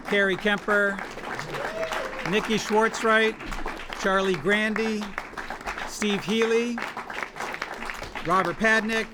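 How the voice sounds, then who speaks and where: A man speaking to a gathering as the host of a table read, announcing names and thanks one by one with pauses. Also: high-pitched. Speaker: showrunner hosting a cast table read. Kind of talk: welcome speech and roll call read aloud to a gathering. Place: large room full of people at a live event. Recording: noisy room with loud crowd.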